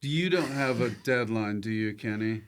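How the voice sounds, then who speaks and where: A man's voice: lowish voice, moderate pace, clean tone. A man hosting a casual, comedic interview podcast, talking in a loose way with short prompts. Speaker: podcast host.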